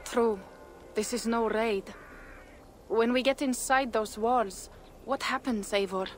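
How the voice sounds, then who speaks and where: A woman's voice: fairly high pitch, takes it slowly, a little hiss or hum. A woman playing a young, thoughtful Viking warrior in a video game, earnest and reflective. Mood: wistful unease. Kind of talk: dramatic game cutscene acting.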